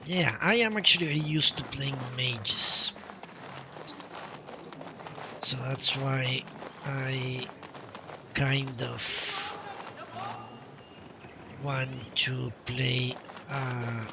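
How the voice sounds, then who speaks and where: A man's voice: mid-range voice, drawn-out words, gravelly, long pauses, noisy background. A man doing casual live gameplay commentary as a streamer, musing and thinking aloud in short phrases. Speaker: game streamer. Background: game music.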